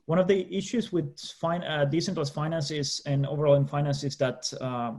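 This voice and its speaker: A young man from Finland with a Finnish accent, speaking as a debate panelist on a video call, often pausing and restarting. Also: a little high, steady tone, darkish tone. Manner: hesitant, many restarts and fillers.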